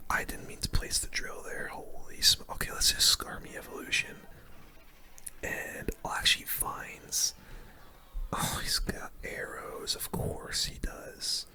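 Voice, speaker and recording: Streamer whispering asmr game commentary, super bright breathy whisper right up on the mic, slangy and informal. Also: very high voice. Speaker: gaming streamer commentating own matches.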